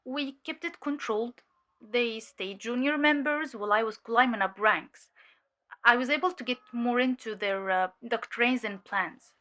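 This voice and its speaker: Female infiltrator played by an actress for audio drama: highish, crisp-edged voice, measured and hesitant testimony, weary but sharp. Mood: matter-of-fact.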